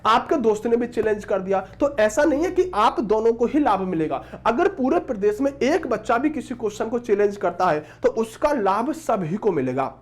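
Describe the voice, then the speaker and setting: A man's voice: extremely high pitch, big pitch swings, moderate pace, hardly any pauses. Man explaining exam news. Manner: repeatedly addresses viewers as friends. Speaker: exam-prep teacher and presenter. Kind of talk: informal exam-news explainer, reading out a notice.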